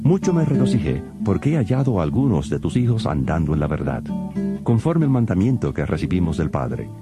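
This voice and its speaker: A man with a strikingly low voice reads scripture in a solemn, measured way. His delivery swings widely in pitch and sinks to a hush at verse endings. Clear guitar music plays beneath him. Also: very animated. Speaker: Bible reader. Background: plucked guitar music.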